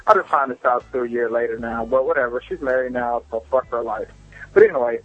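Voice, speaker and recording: A man's voice: medium pitch, quick pace, no room sound. Muffled young man telling candid stories. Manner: candid, self-deprecating, profane. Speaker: listener calling a podcast voicemail. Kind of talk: casual personal storytelling. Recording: muffled lo-fi voicemail with music.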